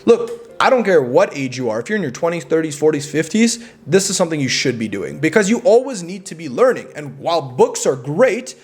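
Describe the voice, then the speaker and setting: A man's voice: on the high side, very animated, fairly quick, few pauses, breathy edge. A young man vlogging, giving casual self-improvement advice in an emphatic way. He often repeats words and adds "right?".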